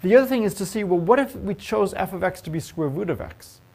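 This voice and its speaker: Guy teaching a college math class, kinda high voice, keeps asking students stuff and cracking jokes. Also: fast, gravelly. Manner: lively, asks the class questions and jokes. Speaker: math professor. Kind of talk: university lecture. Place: lecture hall.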